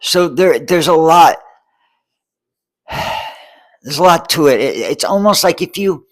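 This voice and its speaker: A man's voice: fairly high pitch, animated, measured pace. Earnest forty-something man, rambling. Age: 40s. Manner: rambling and repetitive, many restarts; checks in with the listener often. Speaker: vlogger and homelessness advocate. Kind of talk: earnest monologue to camera. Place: Seattle.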